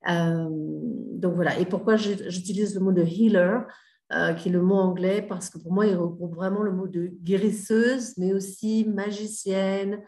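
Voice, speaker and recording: A woman's voice: on the low side, fairly even intonation, a little slow, a little mellow, reverberant. A middle-aged woman mentor giving a casual spoken talk, hesitant, with many fillers and restarts. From Australia. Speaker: grief mentor and healer.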